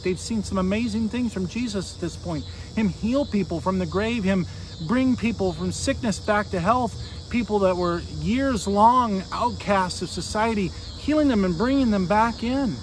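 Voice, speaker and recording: High-voiced American pastor, man in his forties. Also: low-quality recording. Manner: earnest, conversational, with pauses and rhetorical questions. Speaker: Lutheran pastor. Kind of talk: informal recorded sermon. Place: outdoors beside a fallen tree near a street. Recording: outdoor noise, insects and vehicles.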